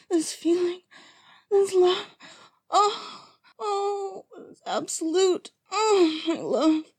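A woman voicing an unstable, possessive female lover in an audio roleplay, speaking in a breathy, often whispered voice. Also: extremely high pitch, a little mellow, dry recording, studio quality, exaggerated voice. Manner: slow, intimate delivery that swings to desperate pleading.

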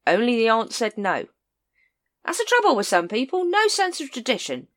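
A wheedling street sausage seller, a grown man who whines his wares in a high, pushy patter, as a woman reader puts him on. He never stops selling, swooping up and down as he talks. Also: huge pitch swings, moderate pace, a bit bright.

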